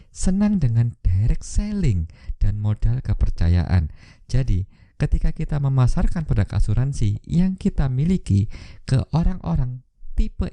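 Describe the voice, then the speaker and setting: Dark-voiced man presenting tips. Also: medium pitch, no echo. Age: 30s. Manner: instructive, addresses viewers directly. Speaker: host of an insurance tips channel. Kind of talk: scripted educational video presentation.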